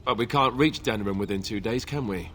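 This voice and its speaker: An actor playing a wry, earnest, boyish warrior companion in a fantasy video game, with an informal way of talking. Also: male voice, fairly low pitch, brisk, fairly bright tone, slight background noise, close to an everyday voice. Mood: wry, doubtful.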